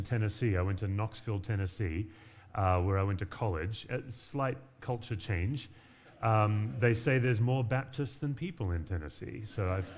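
A man from Western Australia preaching as a guest pastor, conversational with light humor and frequent fillers. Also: mid-range voice. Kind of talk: sermon.

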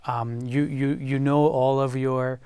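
A man from France with a French accent, a guest on a panel discussion who talks haltingly, with many fillers and repeated words. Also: mid-range voice, flat delivery, darkish tone, smooth, dry recording.

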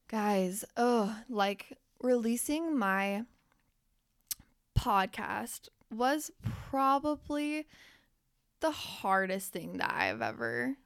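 A woman's voice: a little high, vocal fry, smooth, no room sound, studio quality. A young woman podcast host and life coach who is losing her voice. She talks candidly and swears freely as she speaks straight to her listeners. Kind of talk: casual motivational podcast monologue.

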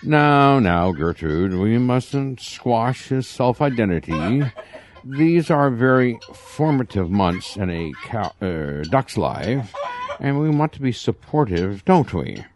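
A man's voice: medium pitch, very animated, slow. A man voicing a pompous, indulgent rooster psychiatrist in an audio drama, hesitant, affected and fussily soothing.

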